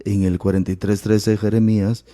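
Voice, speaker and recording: A pastor joins a radio Bible discussion in a low, mellow voice. He talks slowly and deliberately, and he backs up his co-host with short murmured assents. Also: little pitch movement. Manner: slow, measured, with frequent short assents. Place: radio studio.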